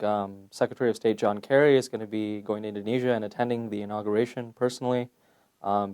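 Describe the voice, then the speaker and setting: Young man from Malaysia, low-pitched, measured academic interviewee, thoughtful with fillers. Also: darkish tone. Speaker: PhD candidate, interview guest. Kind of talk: conversational interview answers. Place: conference venue.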